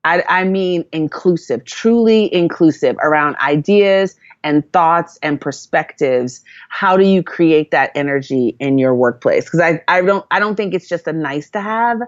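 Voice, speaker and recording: A woman's voice: low voice, expressive, unhurried, hardly any pauses, dry recording, muffled recording. A woman from Jamaica, a guest in an interview, talking earnestly and thoughtfully with many fillers and restarts. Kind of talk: reflective conversational storytelling.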